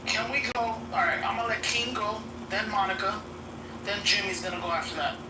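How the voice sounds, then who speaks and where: A moderator keeping a debate in order and directing who talks next, at an ordinary pitch. The voice is far from the mic in an echoey space. Noise runs behind it. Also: a little fast. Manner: directive, sets speaking order. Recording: echoey, distant, noisy playback.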